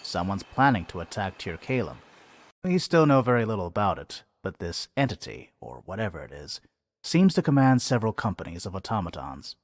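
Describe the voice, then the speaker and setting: A man's voice: low-pitched, big pitch swings, a little fast, exaggerated voice. A man playing a sardonic, irreverent adventurer in a video game, with a laid-back, sarcastic delivery. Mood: serious, curious. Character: sardonic, irreverent, self-deprecating male adventurer. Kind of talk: English video game cutscene acting.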